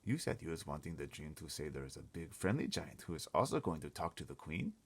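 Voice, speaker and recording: A man's voice: rumbling low, sing-song, a little fast, slightly rough, exaggerated voice. A man voicing a big friendly giant, simple and timid, with quaint ungrammatical speech in a storybook read-aloud. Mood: pondering.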